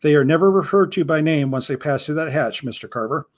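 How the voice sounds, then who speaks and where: A man's voice: a little high, quick pace, close to an everyday voice. A man voicing a cruel, stern male prison guard captain who barks clipped orders.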